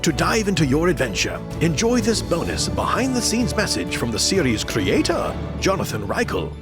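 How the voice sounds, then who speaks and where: A man's voice: a little high, huge pitch swings, normal speed, music in the background. A man reading scripted promotional narration as a dramatic announcer.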